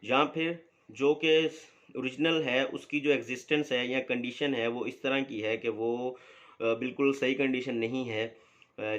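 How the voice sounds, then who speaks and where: A man giving a law lecture as an advocate, explaining step by step with many fillers and reading legal text aloud slowly. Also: medium pitch, a bit bright.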